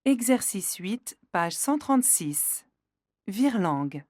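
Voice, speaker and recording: A woman reading French textbook listening drills with careful, deliberate enunciation, recorded close in a studio. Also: mid-range voice, very animated, a little mellow, no room sound. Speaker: textbook audio reader. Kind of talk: slow, clear read-out of French drill prompts.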